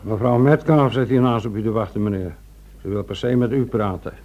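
A man's voice: on the low side, brisk, close to an everyday voice. Dutiful police assistant played by a man, dull sound. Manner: obedient, businesslike. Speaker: actor. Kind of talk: Dutch radio play acting. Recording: dull, faintly noisy radio recording.

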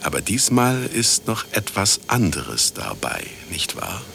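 A man's voice: fairly low pitch, sing-song, measured pace, gravelly, fairly bright tone, a little hiss or hum, exaggerated voice. An actor playing a sardonic, grandiose radio broadcaster in a radio play, theatrical and mocking as he lectures his listeners. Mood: hushed, ominous.

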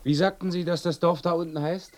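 A man's voice: on the high side, fairly even intonation, a little fast, lightly acted. An actor playing an earnest, puzzled traveller searching for his father in a radio play, with a questioning manner. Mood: earnest, curious. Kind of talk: German radio play acting.

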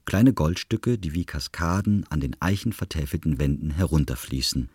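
A man's voice: very deep voice, normal speed, darkish tone, husky, no room sound, clean studio sound. A man narrating scripted stage directions and scene descriptions for an audio drama.

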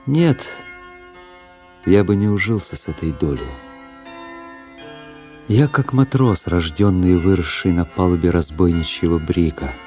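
Slow, deep, brooding officer. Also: male voice, warm tone. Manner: reflective, literary, deliberate. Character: brooding, world-weary young officer recounting his own past. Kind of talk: dramatic first-person literary narration for radio. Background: music.

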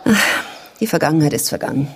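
A woman playing a candid, knowing adult daughter who confides family secrets in a measured way in a German radio drama. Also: low voice, a bit bright, breathy edge. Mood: grave, measured.

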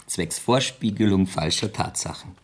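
An actor playing a worldly, wealthy bachelor in a radio drama, wry and theatrically put-upon. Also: male voice, low-pitched, moderate pace. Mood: teasing, wry. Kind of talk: 1970s German radio play acting.

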